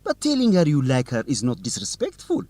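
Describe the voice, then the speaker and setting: An actor playing a teasing, suspicious young student newsletter reporter in an educational radio drama, with a determined manner. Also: male voice, mid-range voice, huge pitch swings, fairly quick, warm tone. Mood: amused, incredulous.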